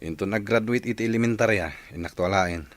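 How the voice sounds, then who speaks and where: A man's voice: fairly low pitch, fairly quick, no echo, natural voice. A man playing a troubled, coaxing father in a radio drama, trying to persuade and placate. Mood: defensive.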